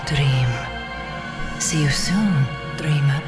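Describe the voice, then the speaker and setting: A woman playing a mysterious, cryptic haunting presence in an audio drama, drawing out her words. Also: low-pitched. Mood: eerie, cryptic. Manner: cryptic, drawn-out delivery. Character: mysterious, eerie female presence haunting a dreamer. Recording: music behind the voice.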